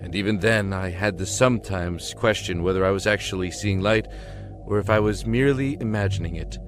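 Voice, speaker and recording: A man narrating a scripted first-person dramatic story with deliberate, somber phrasing. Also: lowish voice. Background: music.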